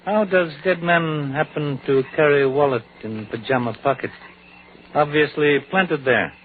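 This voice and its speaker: A man playing a calm, methodical middle-aged Chinese detective in an old radio drama, speaking in measured, clipped sentences that drop small words. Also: on the high side, natural voice. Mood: methodical, puzzled. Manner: measured, clipped speech dropping articles and pronouns. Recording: tinny, hissy vintage radio recording.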